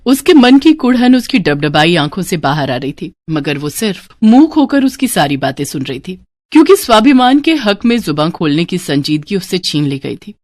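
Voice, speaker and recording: A woman's voice: lowish voice, big pitch swings, normal speed, darkish tone, no room sound, clean studio sound. A woman narrating a scripted story in a dramatic storytelling style.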